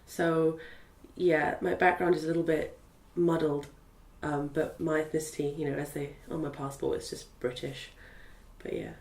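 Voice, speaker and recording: A woman's voice: sits low, flat delivery, moderate pace, darkish tone. A young woman from Zimbabwe whose first language is Portuguese, chatting as an interviewee with lots of fillers. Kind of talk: casual interview. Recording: roomy sound from a distant mic.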